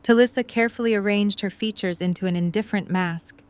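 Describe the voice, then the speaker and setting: A woman's voice: mid-range voice, fast. A woman reading scripted story narration as the narrator of an audio drama.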